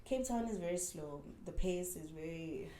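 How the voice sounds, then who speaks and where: A woman's voice: lowish voice, normal speed, roomy sound, a little hiss or hum. A South African woman in her twenties, a dancer talking candidly and animatedly as an interview guest. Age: 20s. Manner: candid, animated storytelling with frequent "like" and "man". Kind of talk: casual interview chat.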